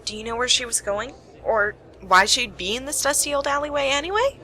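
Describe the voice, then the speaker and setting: A woman's voice: sits high, fairly quick, bright, forceful tone. A woman playing a wry, weary young private detective in an amateur radio play, sardonic and businesslike. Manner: sardonic, exasperated sighs.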